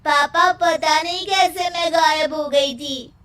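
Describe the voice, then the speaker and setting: Woman playing high-pitched, earnest cartoon village youngster. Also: fairly even intonation, slow pace, fairly bright tone, distant mic, exaggerated voice. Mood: alarmed.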